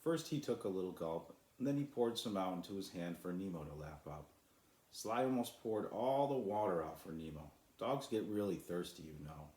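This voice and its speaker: A man's voice: sits low, lively intonation, normal speed, darkish tone, echoey room. A man who is an author, reading and introducing his own children's story as a storyteller. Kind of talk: storybook narration.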